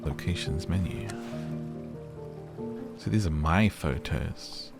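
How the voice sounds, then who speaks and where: A man with a deep, low voice talks his way through a calm exploration game, easygoing and chatty, turning to his viewers now and then. Gentle game music plays clearly behind him. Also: takes it slowly. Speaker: gaming YouTuber. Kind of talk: relaxed game playthrough commentary. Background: soft game music.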